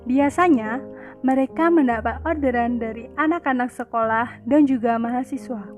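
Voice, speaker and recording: A woman's voice: fairly high pitch, normal speed, a little mellow. A young adult woman from Indonesia reads scripted narration as a student narrator. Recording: music behind the voice. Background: background music.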